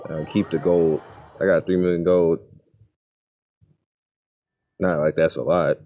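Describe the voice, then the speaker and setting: A man's voice: sits low, level tone, unhurried, mellow, faint music behind. A man casually commenting over gameplay in an offhand, muttering way. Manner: laid-back, offhand muttering. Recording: voice over game audio.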